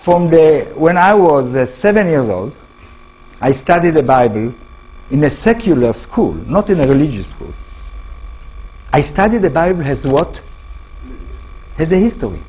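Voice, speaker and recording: A man with an Israeli accent lectures in English, his second language, with a very husky, breathy voice. His pitch swings widely as he throws rhetorical questions at the crowd. His phrasing is halting but earnest. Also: very animated, a little mellow, poor audio. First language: Hebrew. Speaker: author giving a talk. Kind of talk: public lecture.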